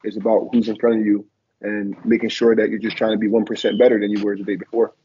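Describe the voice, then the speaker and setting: A man's voice: fairly low pitch, flat delivery, fast, dark tone, a little hiss or hum. A young man from Liberia raised in Philadelphia, a former college football player, talking reflectively with many fillers as a guest on a video call. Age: young adult. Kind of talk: casual interview on a video call. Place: small room indoors. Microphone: video call, laptop camera. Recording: dull lo-fi video call audio.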